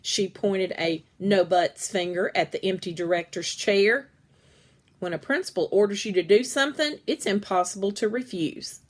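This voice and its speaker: Female storyteller for a children's novel read-aloud, expressive, acts out the dialogue. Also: medium pitch, normal speed.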